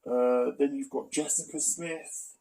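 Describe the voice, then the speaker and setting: A man delivers an exposé-style commentary in a middling, creaky voice. He sounds critical yet matter-of-fact as he lays out and reads through evidence. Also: mid-range voice, steady tone, unhurried, dark tone, poor audio. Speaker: video commentator presenting an exposé. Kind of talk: spoken commentary reading out evidence.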